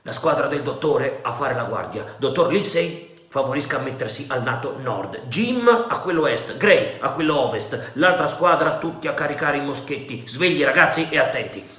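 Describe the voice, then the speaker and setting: A man's voice: medium pitch, animated, a little slow, a bit bright. A man voicing a stern, wary ship captain who gives curt commands. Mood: commanding. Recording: roomy echo.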